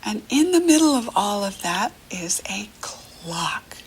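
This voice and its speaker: An American woman teaching young children through a picture book, speaking to the child directly in simple, encouraging phrases with pauses. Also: mid-range voice, very animated, a little hiss or hum.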